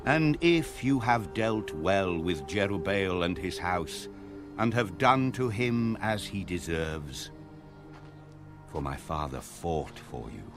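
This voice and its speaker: A man narrating scripture in a solemn, measured and dramatic style. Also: sits low. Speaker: audio Bible narrator. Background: background music.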